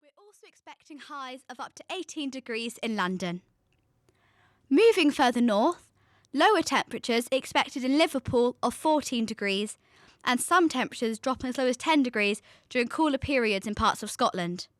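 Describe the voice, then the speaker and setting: A young woman playing a composed radio weather presenter in a scripted drama, speaking in a measured, formal way. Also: a little high, expressive, no echo, clean studio sound, natural voice. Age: young adult.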